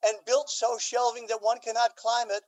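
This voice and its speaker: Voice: medium pitch, flat delivery, moderate pace, darkish tone, lightly acted. An actor playing an aging, scheming Duke in a Shakespearean radio drama, with a theatrical, courtly and emphatic delivery. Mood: sly, eager. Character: aging, scheming Duke, aggrieved father feigning lovesickness.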